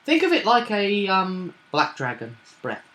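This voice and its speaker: A man with a fairly high, bright and forceful voice talks through a tabletop roleplaying session as its game master. He explains in a casual, halting way, thinking aloud as he goes. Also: wildly swooping pitch.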